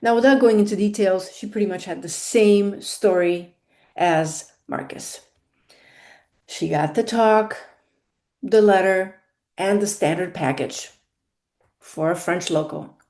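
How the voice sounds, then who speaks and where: Slow, deliberate woman with a mellow voice. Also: medium pitch. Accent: non-native English. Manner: deliberate, stresses key words, repeats points. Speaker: career consultant. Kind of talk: spoken monologue on careers.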